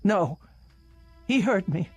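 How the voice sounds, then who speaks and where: A woman's voice: mid-range voice, lively intonation, slow, husky, full character voice. Fretful elderly invalid woman, music behind. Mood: anxious. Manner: agitated, pleading, insistent. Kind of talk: radio drama acting.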